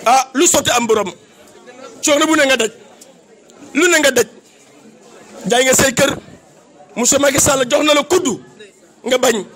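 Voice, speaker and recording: A man's voice: extremely high pitch, moderate pace, pauses often, fairly bright tone, some chatter behind. A man with a Senegalese accent giving an impassioned public praise speech, declamatory and emphatic, with repeated phrases. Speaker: political activist giving a tribute.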